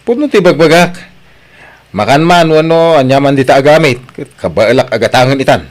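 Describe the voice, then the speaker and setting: A man's voice: mid-range voice, expressive, moderate pace, close to an everyday voice. A man with an Ilocano Filipino accent playing an arrogant, newly rich vlogger who talks brashly and dismissively in an audio drama. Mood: boastful.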